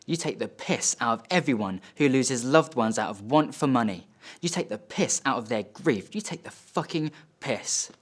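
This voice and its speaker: A man voicing a proud, scornful father of about sixty in a stage monologue, with sneering, drawn-out, emphatic reproaches. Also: on the low side, a bit bright. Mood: angry contempt.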